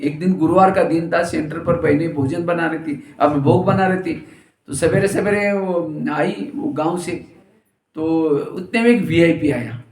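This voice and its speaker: High voiced guy giving a spiritual talk, jokey stories, roomy echo all around. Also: measured pace. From India. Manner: storytelling with jokes and acted quotes. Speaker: spiritual teacher. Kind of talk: spiritual discourse with anecdotes.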